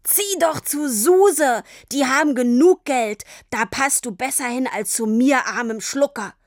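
A woman voicing a hot-tempered, hurt father in a dramatic audiobook, angry and hissing or shouting. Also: medium pitch, steady tone, moderate pace, no room sound, clean studio sound, close to an everyday voice. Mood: bitter. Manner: shouting in anger, hissing through his teeth.

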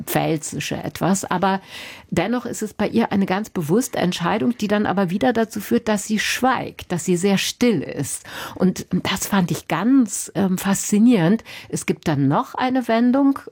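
A woman critic explaining a book in a thoughtful, measured way on a radio discussion. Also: medium pitch, lively intonation, gravelly, darkish tone, husky.

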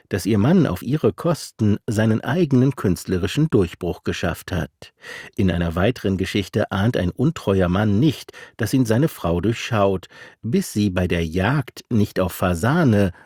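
Deep husky male narrator. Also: a little mellow, no echo, clean studio sound. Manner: measured, literary feature narration. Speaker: radio reporter. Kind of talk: scripted narration.

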